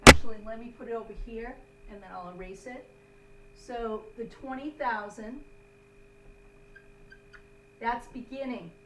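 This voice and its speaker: A woman's voice: on the high side, unhurried, pauses often. A woman instructor giving a step-by-step classroom lesson in an instructive way, often checking in with short tags. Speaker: accounting instructor. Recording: roomy, distant mic, faint hum.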